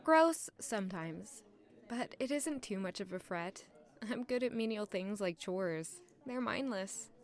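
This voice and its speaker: A woman's voice: medium pitch, animated, unhurried. A woman playing a reserved, modest and wistful young palace maid in an audio drama, with a polite, understated way of talking. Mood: friendly, understated. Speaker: narrator and lead character of audio drama. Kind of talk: audio drama acting with first-person narration.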